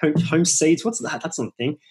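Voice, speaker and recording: A man's voice: a little high, animated, brisk, a little mellow. A young Australian man hosting a podcast interview, chatting in a casual, jokey, matey way.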